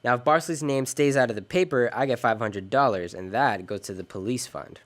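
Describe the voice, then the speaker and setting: An actor playing a world-weary, decent American private detective in an old-time radio drama, wry and clipped. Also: male voice, medium pitch, brisk, natural voice. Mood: matter-of-fact. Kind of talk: 1940s detective radio drama, first-person narration and dialogue.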